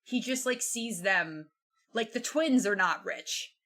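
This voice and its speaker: A woman's voice: on the high side, expressive, takes it slowly, fairly bright tone. A woman co-hosting a casual book discussion, irreverent and sweary, with "like" peppered through her sentences. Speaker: book podcast co-host.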